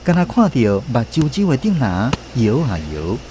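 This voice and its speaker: Expressive Taiwanese man narrating a story. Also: mid-range voice, normal speed, background noise. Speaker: radio drama narrator.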